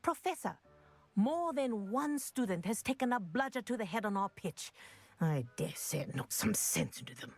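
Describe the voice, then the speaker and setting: An actress playing an indignant, persistent flying teacher in a video game, speaking insistently and emphatically. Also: female voice, medium pitch, lively intonation, moderate pace, vocal fry. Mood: insistent, exasperated.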